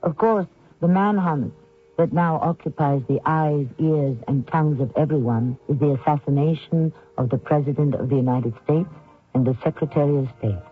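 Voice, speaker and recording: A man hosting a radio drama, giving scripted narration in a deliberate, theatrical manner. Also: on the high side. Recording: tinny old radio broadcast. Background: music.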